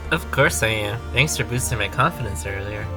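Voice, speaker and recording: Man acting a calm, low-voiced mentor. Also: normal speed, over music, natural voice. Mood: calm, confident. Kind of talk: courtroom audio drama acting.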